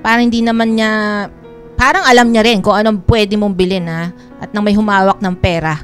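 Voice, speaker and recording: A woman's voice: mid-range voice, steady tone, normal speed, close to an everyday voice. A woman playing a bossy, suspicious mother with a Filipino accent in a radio drama, curt and commanding. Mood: brisk. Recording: music bed behind the voice.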